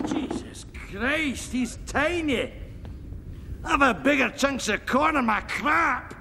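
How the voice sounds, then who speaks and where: A huge, crude Scottish henchman, booms out demands in a thick, gravelly brogue. His voice sits high and swings wildly. There is a faint hum of noise behind him. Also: lively intonation, slow pace, in a large hall, exaggerated voice. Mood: greedy. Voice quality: thick brogue, bellowing and lip-smacking.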